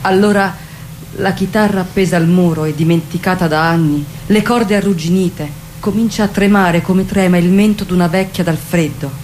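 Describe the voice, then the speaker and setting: A woman's voice: on the low side, moderate pace, darkish tone, slight background noise. A woman delivering a poetic dramatic monologue.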